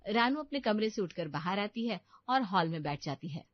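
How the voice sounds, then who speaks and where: A woman's voice: medium pitch, lively intonation, normal speed, no room sound, lo-fi sound. A woman narrating a scripted story as its storyteller.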